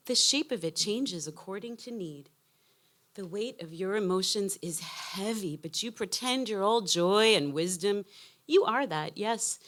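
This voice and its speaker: A woman in her fifties reading a personal essay aloud with emotional, emphatic phrasing. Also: mid-range voice. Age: 50s. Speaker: essayist reading own work.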